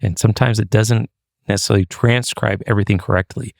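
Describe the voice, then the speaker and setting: A chatty man with a low voice co-hosts a podcast, talking loosely and dropping in fillers as he goes. His voice sounds close and dry, with no room echo. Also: fairly even intonation, fast talker, vocal fry. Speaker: podcast co-host. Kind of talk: casual podcast chat.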